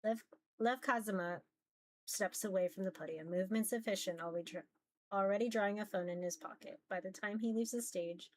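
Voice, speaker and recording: A woman's voice: medium pitch, brisk, slight background noise. A woman streamer reading a visual novel aloud as narration and commenting casually as she plays.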